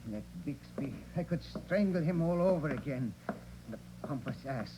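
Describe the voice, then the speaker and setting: An actor playing a bitter, greedy forger and murderer in a theatrical 1940s radio crime drama style. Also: male voice, fairly high pitch, expressive, normal speed, mellow, lightly acted. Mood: bitter rage. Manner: theatrical, brooding outbursts. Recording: dull, noisy vintage radio recording.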